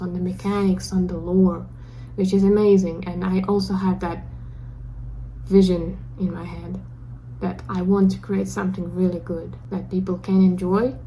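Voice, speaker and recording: A young woman with a Russian accent speaks in a soft, mellow voice, sincere and slightly self-conscious as she talks to her camera. A faint hiss sits under her voice. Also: on the low side, poor audio. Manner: self-conscious, earnest, a little awkward. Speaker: vlogger. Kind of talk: casual talk to camera. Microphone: camera mic.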